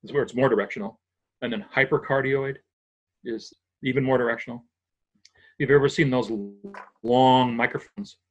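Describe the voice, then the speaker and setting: An older man from Massachusetts talks a guest through sound engineering in a patient, explanatory way, restarting his phrases as he thinks. His voice is husky and sits at an ordinary pitch for a man. The recording is dull and lo-fi. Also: normal speed. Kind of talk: casual technical interview. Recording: dull lo-fi audio.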